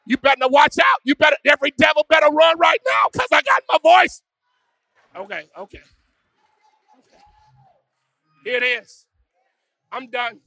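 A pastor preaching a sermon with call-and-response, swinging from hushed musing to shouted, sung climaxes. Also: male voice, extremely high pitch, very animated, normal speed, pauses often, no room sound. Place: church.

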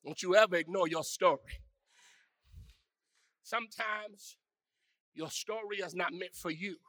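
A pastor preaching a sermon with call-and-response, swinging from hushed musing to shouted, sung climaxes. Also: male voice, high voice, a little slow, no echo, studio quality. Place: church.

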